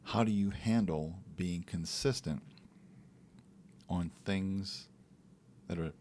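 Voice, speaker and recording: A man co-hosting a podcast, talking in a relaxed, reflective way about faith and daily life, sometimes acting out little quotes. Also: low voice, slow, a little hiss or hum. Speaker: podcast co-host. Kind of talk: casual faith talk.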